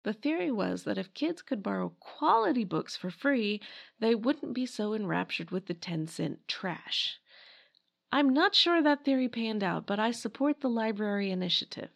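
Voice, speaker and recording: A woman's voice: mid-range voice, animated, moderate pace, vocal fry, dull audio. A woman hosting a podcast, reading scripted history narration in a wry, emphatic way. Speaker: podcast host.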